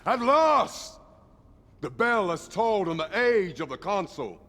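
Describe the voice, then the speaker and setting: A man's voice: high-pitched, very animated, unhurried, exaggerated voice. A man playing a grandiose court herald in a fantasy game, making a formal, declamatory ceremonial proclamation. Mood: solemn, grand. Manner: pompous, declamatory, formal archaic diction. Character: grandiose male court herald addressing nobles. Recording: long hall reverb, loud music behind.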